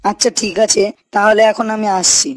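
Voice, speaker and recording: A woman's voice: medium pitch, fairly even intonation, moderate pace, natural voice. A woman voicing a dutiful young village husband in a cartoon, questioning and complaining. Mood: calm. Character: dutiful, nagging young married village son. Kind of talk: cartoon character dialogue. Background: faint music.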